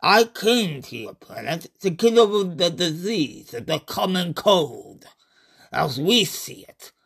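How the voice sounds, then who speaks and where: A man voicing a calm, condescending alien entity, secretly a tentacled monster, with a deliberate, drawn-out delivery in a horror short story. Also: a little high, creaky, breathy edge, heavily acted. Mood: calm, explaining.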